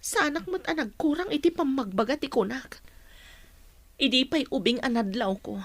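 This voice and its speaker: A woman's voice: sits high, lively intonation, normal speed, dry recording. A Filipino woman playing a sorrowful, patient mother in a radio drama. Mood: plaintive. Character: sorrowful, patient mother worried about her child. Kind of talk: Ilocano radio drama acting.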